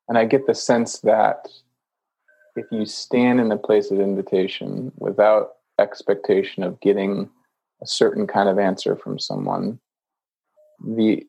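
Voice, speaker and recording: A man's voice: lowish voice, gravelly, a little mellow, lo-fi sound. A man hosting a podcast interview, reflecting back what his guest says and checking his understanding. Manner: reflective, paraphrases guest, frequent "mm" affirmations. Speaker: podcast host. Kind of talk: conversational interview.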